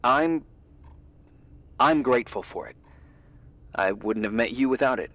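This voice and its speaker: Earnest, awkward young man, very expressive. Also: mid-range voice, unhurried, no room sound. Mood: flustered, shy. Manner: hesitant, stammering, earnest. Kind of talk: naturalistic video game voice acting.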